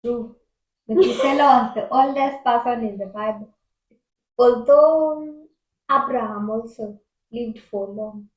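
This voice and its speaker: A woman's voice: fairly high pitch, a little slow. Giggly woman quizzing in an echoey room. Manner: giggly, reading questions aloud. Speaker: quiz asker. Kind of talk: casual bible quiz chat.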